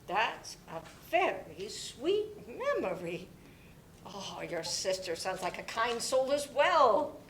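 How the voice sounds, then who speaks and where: A woman playing a kindly, kooky, motherly older neighbour in a dramatic play reading, with a gentle, fussing manner. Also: big pitch swings, heavily acted. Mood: sympathetic. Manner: slow, fussing, full of endearments. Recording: faint background noise and rumble.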